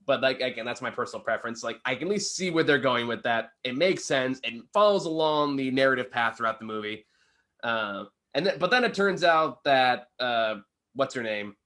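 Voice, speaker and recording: A man's voice: medium pitch, lively intonation, moderate pace, pushed and bright. A man casually discussing a film with a co-host as a reviewer, opinionated and swearing freely.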